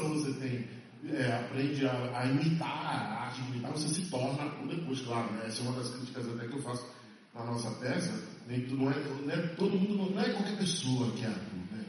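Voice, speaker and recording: A man's voice: on the high side, a little hiss or hum. A man from Salvador, an actor speaking at a press conference, emphatic and passionate, repeating phrases for stress. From Salvador, Bahia, Brazil. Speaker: stage and TV actor. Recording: echoey hall, mic far off.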